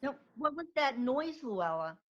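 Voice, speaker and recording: A woman's voice: high-pitched, very animated, unhurried, a bit bright, highs cut off, heavily acted. A woman acting a nosy, cheeky, teasing friend in a comedy script read over a video call. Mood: nosy, mocking. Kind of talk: live comedy screenplay table read.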